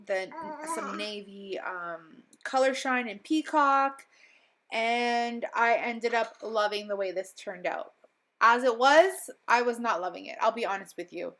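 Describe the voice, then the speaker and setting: A woman's voice: mid-range voice, sharp tone, a little hiss or hum. A young woman recovering from a cold, narrating a crafting voiceover at home in a rambling, chatty way with lots of ums and little laughs. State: slightly stuffy, recovering from illness. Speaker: crafting vlogger. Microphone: voiceover mic.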